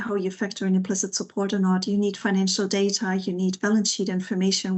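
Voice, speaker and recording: A woman with a mid-pitched voice and a flat, steady melody talks as a specialist on a panel. She explains at length in winding sentences, pausing to find the next phrase. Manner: explanatory, long winding sentences with hesitant pauses. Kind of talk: expert panel discussion, unscripted.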